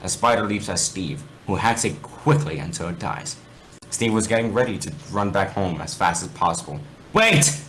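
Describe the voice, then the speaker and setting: Low-voiced man reading dramatically, noisy recording. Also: normal speed, fairly bright tone. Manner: theatrical, stumbles over words and corrects himself. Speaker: reader of fan fiction. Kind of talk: amateur dramatic story reading.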